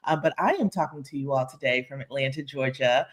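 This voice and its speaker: A woman's voice: very deep voice, fairly quick. A woman speaks as a panelist on a discussion. She presents her professional background in a self-introduction style. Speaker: panelist, climate finance leader.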